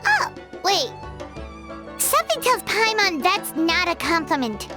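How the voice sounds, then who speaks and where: A tiny fairy companion from a video game, with an extremely high, squeaky, childlike voice. She is excitable and food-loving, exclaims a lot and swings wildly up and down in pitch. Music plays behind her. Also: measured pace, heavily acted. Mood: chatty, eager. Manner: speaks of herself in third person, exclaiming. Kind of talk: animated video game voice acting. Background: background music.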